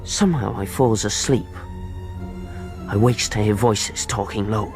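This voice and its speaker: A man's voice: fairly low pitch, measured pace, gravelly, music in the background. An actor playing an eager, naive ship's boy, plainly telling his adventure in a radio drama. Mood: earnest. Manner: youthful, plain-spoken storytelling. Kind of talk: radio drama acting and narration.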